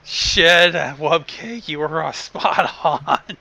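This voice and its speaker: A man's voice: on the high side, very slow, creaky, pushed and bright, no echo. A man chatting casually as a blunt co-commentator on a stream. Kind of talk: casual banter.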